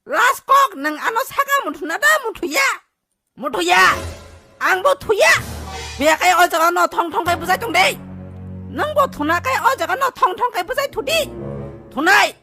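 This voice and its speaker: A woman voicing an excitable, squeaky cartoon character with an animated, exclamatory delivery in a dub. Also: moderate pace, full character voice. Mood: animated bickering. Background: faint music.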